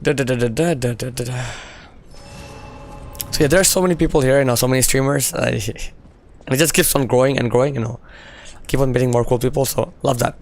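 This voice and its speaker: A man's voice: medium pitch, steady tone, a little fast, slight background noise. A male game streamer who is losing his voice, chatting casually with viewers while playing, laughing often and using lots of "you know" fillers. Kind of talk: casual live-stream chat while gaming.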